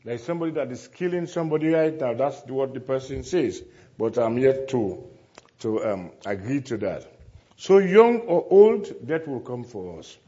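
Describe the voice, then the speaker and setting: A man's voice: mid-range voice, expressive, moderate pace, mellow, highs cut off. A man preaching a sermon to a congregation, speaking emphatically, repeating phrases and putting rhetorical questions to his listeners.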